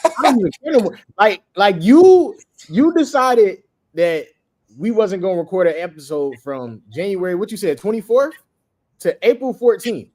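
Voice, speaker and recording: A man's voice: high voice, very animated, normal speed, a little mellow, a little hiss or hum. A man co-hosting a casual sports podcast, joking and insistent, repeating himself for effect. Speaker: podcast co-host.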